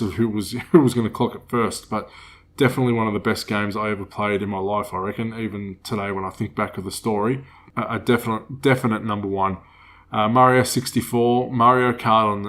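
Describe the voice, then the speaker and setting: A man in his thirties with a cold that makes him a bit nasal, hosting a casual solo podcast in a rambling, relaxed way. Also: lowish voice. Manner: rambling, relaxed, with many fillers. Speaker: podcast host.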